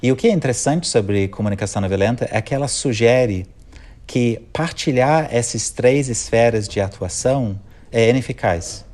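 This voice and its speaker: A middle-aged man from England with an English accent, speaking Portuguese as a second language, giving thoughtful, measured interview answers as a conflict mediator, pausing and restarting phrases as he thinks. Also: mid-range voice, a little mellow.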